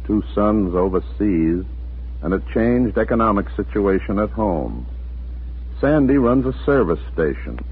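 A man's voice: lowish voice, expressive, unhurried, breathy edge, full character voice. A man narrating a 1940s radio drama as a folksy storyteller, scripted and familiar. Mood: folksy, measured. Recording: muffled, narrow 1940s radio recording.

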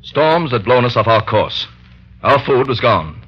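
An actor playing an earnest, authoritative doctor, a man who speaks gravely and urgently in an old-time radio drama. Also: medium pitch, big pitch swings, unhurried. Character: earnest, authoritative male doctor, an escaped ex-convict. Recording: dull vintage radio recording.

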